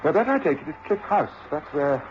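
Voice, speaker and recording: A man playing a shrewd, self-assured gentleman detective with a precise, knowing manner in a radio drama. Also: fairly high pitch, lively intonation, fairly quick, close to an everyday voice. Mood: keenly observant. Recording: dull, noisy radio recording.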